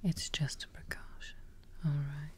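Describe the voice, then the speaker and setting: A young woman playing a gentle, reassuring witch in an ASMR role play, speaking softly and breathily, close to the microphone. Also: low voice, little pitch movement. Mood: soothing, calm. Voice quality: soft, breathy, whispery. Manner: slow, reassuring, unhurried. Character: gentle, soothing witch tending a patient.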